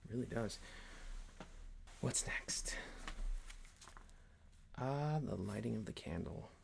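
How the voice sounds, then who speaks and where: A man performing an ASMR roleplay as a calm, genteel boss, speaking in a hushed, deliberate, soothing, often near-whispered way. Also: on the low side, vocal fry, slight background noise. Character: calm, genteel male boss leading a relaxation ritual. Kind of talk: ASMR roleplay, guided relaxation.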